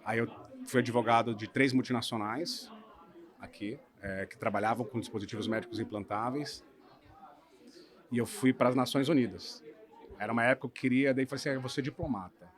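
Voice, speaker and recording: A man from Brazil, a lawyer in his thirties, telling the story of his career in a relaxed podcast conversation, often checking in with "né?". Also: medium pitch, a little fast. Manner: relaxed storytelling, hedging with frequent "né?". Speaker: guest lawyer. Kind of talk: casual podcast interview.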